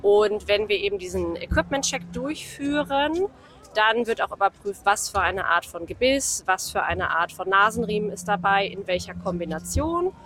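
A woman's voice: mid-range voice, lively intonation, normal speed, fairly bright tone. A woman judge and ring steward explaining equipment rules in an interview, talking at length with many fillers. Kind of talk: interview answers. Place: outdoors at a horse show.

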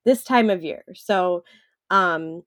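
A woman's voice: fairly low pitch, slow pace, no room sound, clean studio sound. A woman with a Wisconsin accent and a stuffed-up nose, co-hosting a casual podcast chat and rambling with lots of "like.". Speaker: podcast co-host.

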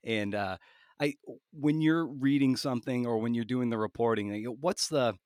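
A man hosting a podcast interview who asks thoughtful questions, often halting and restarting as he speaks. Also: medium pitch, darkish tone, no echo, clean studio sound. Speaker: podcast host. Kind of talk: conversational interview.

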